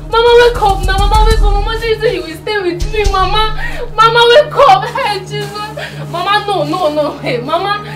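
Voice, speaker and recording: An actress playing a devoted young Nigerian granddaughter, earnest and respectful. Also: female voice, lowish voice, moderate pace, lightly acted. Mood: wailing.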